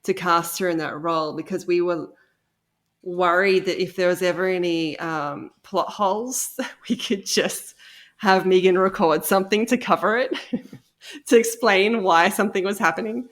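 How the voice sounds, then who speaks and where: A woman chatting casually as an interview guest, thinking aloud in a halting way. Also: on the low side, a bit bright. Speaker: guest, audio drama co-creator. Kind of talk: casual chat.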